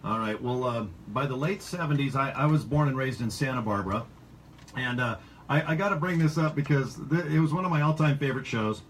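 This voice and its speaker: A man's voice: mid-range voice, animated, a little fast, a little hiss or hum. A man hosting a casual radio interview, talking in a rambling, chatty way with lots of fillers. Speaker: radio host.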